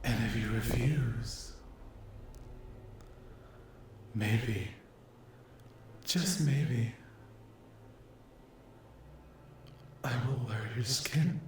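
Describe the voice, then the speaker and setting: A man's voice: mid-range voice, slow, slight background noise, close to an everyday voice. A man voicing a cruel, possessive sleep paralysis demon in an ASMR roleplay, speaking in a menacing, condescending, whispery drawl. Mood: menacing, gloating.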